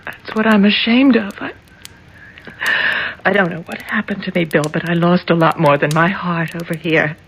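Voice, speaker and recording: A woman's voice: on the low side, moderate pace, creaky, rough recording. An actress playing an anxious, ashamed young woman in a 1970s radio drama, hesitant and earnest. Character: anxious, ashamed young senator's daughter, pleading. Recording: old radio broadcast, faint noise.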